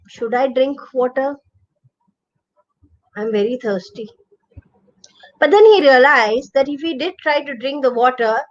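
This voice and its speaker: A woman's voice: high voice, lo-fi sound. A woman with an Indian accent narrating a children's bedtime story expressively, drawing out and repeating words for emphasis. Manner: expressive, drawn-out repetition for emphasis.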